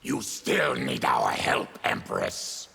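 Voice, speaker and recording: A proud, brooding monster warrior from a fighting game. The voice is very low and heavily rasping, lurching dramatically in pitch. The words come out grave, formal and menacing. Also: male voice, wildly swooping pitch, slow, bright, forceful tone, exaggerated voice. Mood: grim. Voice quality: gravelly, guttural rasp. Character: feral, diseased monster warrior, a proud brooding former tribune. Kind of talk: video game character acting.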